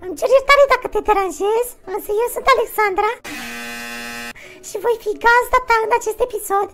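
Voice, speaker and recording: Man as a very high-pitched girly gamer. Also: steady tone. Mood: cheerful, polite. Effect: voice changer raising pitch to female. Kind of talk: casual prank call chat and hosting. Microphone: close desk microphone. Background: music.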